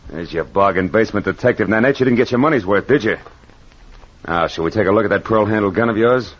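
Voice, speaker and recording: An American actor playing a tough, wisecracking private detective in old radio drama, speaking in clipped, sardonic slang. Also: male voice, lowish voice, fast talker, lightly acted. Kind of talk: 1940s-50s radio drama acting, hard-boiled narration. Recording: dull noisy vintage radio recording.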